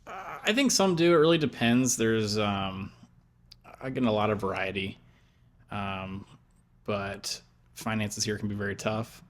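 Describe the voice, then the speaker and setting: Young American man, lower voice, relaxed hesitant chat. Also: unhurried. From United States. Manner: easygoing, hesitant with many ums. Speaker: fiancé. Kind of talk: casual couple chat.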